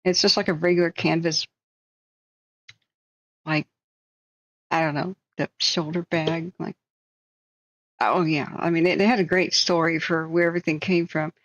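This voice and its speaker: A woman's voice: deep voice, flat delivery, normal speed, pauses often, no room sound, dull audio. A woman hosting a live stream, chatting casually in a rambling, joking, self-deprecating way.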